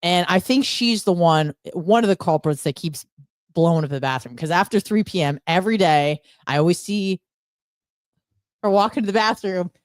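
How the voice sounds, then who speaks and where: A woman chatting casually and telling stories in a crude, profane, animated comic way. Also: lowish voice, moderate pace, no room sound, studio quality. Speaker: co-host.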